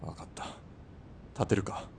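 An actor playing a steady, concerned sniper in a radio drama, with a serious, urgent delivery. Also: male voice, unhurried, a little hiss or hum, close to an everyday voice. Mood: concerned, resolute. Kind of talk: Japanese radio drama acting.

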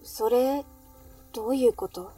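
A woman's voice: fairly high pitch, fairly even intonation, a little slow, warm tone, slightly rough, recorded from a distance, faint music behind. A woman playing an inquisitive, sympathetic cafe regular and confidante in a Japanese audio drama. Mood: curious.

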